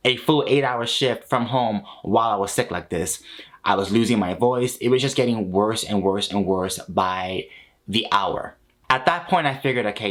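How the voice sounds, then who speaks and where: A man in his thirties talks straight to the camera from a small home studio, recounting his story in an animated, expressive way. His voice sits on the lower side, with laughs slipping in between lines. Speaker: vlogger.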